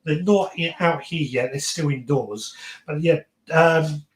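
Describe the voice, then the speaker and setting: A man with a slightly high voice hosts a relaxed gardening phone-in. He is agreeable and hesitant, doubling back on words. The sound is roomy and echoing, as in a shed. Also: measured pace. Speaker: podcast host taking phone-ins. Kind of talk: casual gardening chat.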